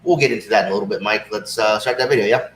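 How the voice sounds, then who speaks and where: A man co-hosting a cigar show, slightly hoarse, chatting casually and rambling with many fillers. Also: on the low side, very fast, slight background noise. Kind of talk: casual livestream chat. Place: cigar shop lounge. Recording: roomy shop with faint ambience.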